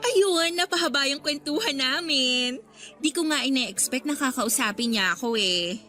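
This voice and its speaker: Woman as an eager, high-voiced teenage schoolgirl. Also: animated, normal speed, sharp tone, soft background music. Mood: eager, flattered. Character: chirpy, eager teenage schoolgirl enjoying new popularity. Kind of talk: scripted radio drama dialogue.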